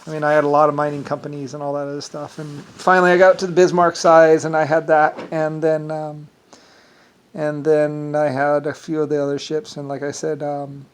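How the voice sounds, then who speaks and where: Rambling man with an Irish accent. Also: a little high. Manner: rambling, digressive, meandering, self-assured. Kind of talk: rambling solo monologue.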